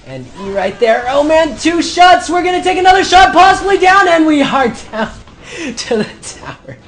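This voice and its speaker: High-voiced young man commentating a game. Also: normal speed. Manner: shouts during fights, calm between. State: losing his voice. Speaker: gameplay commentator. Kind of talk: excited gameplay commentary.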